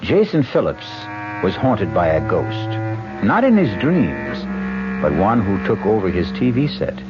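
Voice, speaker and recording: A man hosting and narrating a radio mystery in a measured, solemn way. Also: lowish voice, huge pitch swings. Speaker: host and narrator. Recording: lo-fi old radio broadcast with music. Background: background music.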